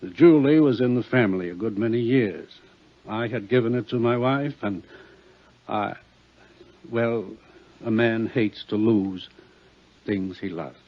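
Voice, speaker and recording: Husky, genteel, uneasy rich gentleman. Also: fairly low pitch, a little slow, long pauses. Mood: rueful. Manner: fussy, well-bred, hesitant politeness. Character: genteel wealthy male husband, polite but uneasy. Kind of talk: 1950s radio drama acting. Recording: muffled 1950s radio recording.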